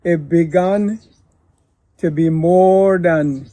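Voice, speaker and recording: An older man from Guyana, a pastor telling his daughter his life story in a slow, deliberate way. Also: fairly high pitch, warm tone, no room sound. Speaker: pastor and father of the host. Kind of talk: reflective family interview.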